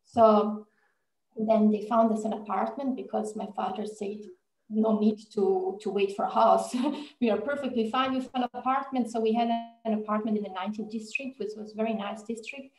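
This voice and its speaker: A woman's voice: mid-range voice, fairly even intonation, moderate pace, a little mellow. A woman, telling her story conversationally as an interview guest on a video call. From Bosnia, with years in Mexico and Austria. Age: 40s. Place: indoor room on a video call. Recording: echoey room on video call.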